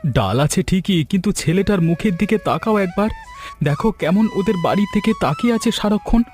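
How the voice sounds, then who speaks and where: A man's voice: sits high, expressive, fairly quick, darkish tone, soft background music. An actor playing a poor, hardworking farmer father in a cartoon folk tale, earnest and plaintive, with wounded dignity. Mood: weary, hurt.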